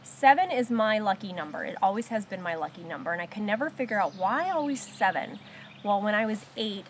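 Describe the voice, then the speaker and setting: A woman sharing her own story of surviving abuse in a support circle, talking in a halting, reflective way with pauses and restarts, and slowing and softening on painful memories. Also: mid-range voice, a little hiss or hum. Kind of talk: personal testimony in a support circle.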